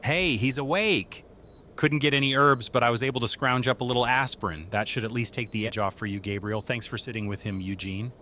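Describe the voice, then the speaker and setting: A friendly, caring male doctor, played by an actor in an audio drama. His voice is bright and forward at a middling pitch, with clear noise around it. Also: a little fast, close to an everyday voice. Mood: cheerful, caring.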